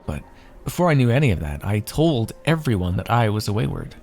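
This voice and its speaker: A man's voice: fairly low pitch, big pitch swings, a little fast, creaky, darkish tone, soft background music. A man playing a wry, teasing royal heir and former mercenary in an ASMR fantasy roleplay, with formal, theatrical phrasing. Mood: reflective, guarded.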